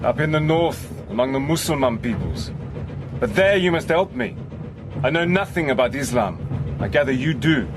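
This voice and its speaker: An actor playing a resourceful British agent who narrates his own story in a calm, wry first-person voice. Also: fairly high pitch, moderate pace, over music. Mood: guarded. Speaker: narrator and hero.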